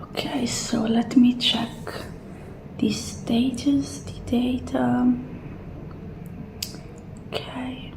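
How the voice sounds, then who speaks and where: Slow, soft-spoken ASMR woman, often whispering. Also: a little high, little pitch movement, vocal fry. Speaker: ASMR roleplay performer as a clinic assistant. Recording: roomy echo, faint background noise.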